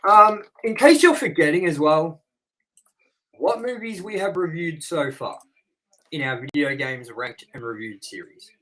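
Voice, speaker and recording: A young man hosts a casual review podcast with crude jokes and a steady stream of swearing. His voice sits a little on the high side. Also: a little slow, poor audio. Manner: crude, joking banter with frequent swearing. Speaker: podcast host.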